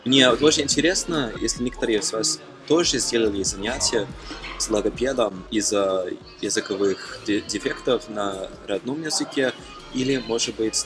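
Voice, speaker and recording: Low-voiced young man, halting non-native talk. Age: young adult. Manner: halting, frequent fillers and self-corrections, non-native speaker. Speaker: language-learning vlogger. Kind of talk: casual talk to camera. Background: background music.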